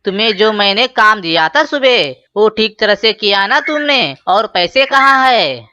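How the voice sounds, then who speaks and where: A woman's voice: medium pitch, expressive, a little slow, sharp tone, dry recording. A woman playing a stern male bird boss in a children's cartoon, suspicious and demanding. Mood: accusing, threatening. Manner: stern, accusing. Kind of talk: children's cartoon story dialogue.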